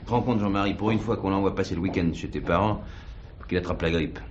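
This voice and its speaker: An actor playing a weary, irritable, bossy police detective in a televised stage drama. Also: male voice, low-pitched, fairly even intonation, fast, highs cut off, slight background noise, natural voice.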